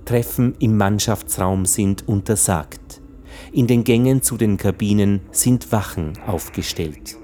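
An actor playing a grave ship's chronicler, reading log entries soberly and factually in a radio play. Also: male voice, on the low side, a little slow, mellow, natural voice. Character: grave male chronicler of a ship in lockdown.

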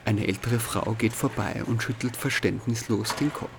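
A man from Austria reads a scripted first-person audio diary as narrator, in a measured, dryly wry way. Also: fairly low pitch, gravelly, heavy background noise.

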